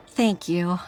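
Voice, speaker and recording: A woman voicing a gentle, mature mother who works as a secretary in a video game, speaking softly and sincerely. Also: on the low side. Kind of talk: video game character acting. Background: background music.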